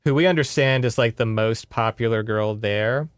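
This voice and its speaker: A man speaks as a podcast co-host, recapping a story in loose, conversational language. His voice is clean and resonant, at an average pitch, in a very dry recording. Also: moderate pace, clean tone, no room sound. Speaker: podcast co-host retelling a book. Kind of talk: casual conversational book recap.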